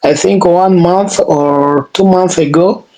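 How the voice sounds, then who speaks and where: Slow, halting African man speaking English. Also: high voice, a little mellow, poor audio. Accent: East or Central African. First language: Swahili. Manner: halting, searching for words and repeating phrases. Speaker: English learner. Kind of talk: casual conversation practice.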